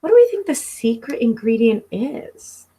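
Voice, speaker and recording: High, expressive woman reading to children. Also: moderate pace, slight background noise. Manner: expressive, instructive, addressing young listeners directly. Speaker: elementary school teacher. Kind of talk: read-aloud storytelling for children. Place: living room at home.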